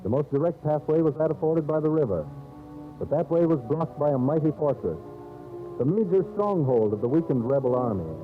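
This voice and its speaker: Vintage 1930s radio narrator, thin band-limited sound. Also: male voice, fairly high pitch, expressive. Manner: solemn, theatrical, measured storyteller delivery. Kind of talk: 1930s dramatic radio storytelling, scripted narration. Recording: old scratchy 1930s radio, narrow phone-like band. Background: dramatic background music.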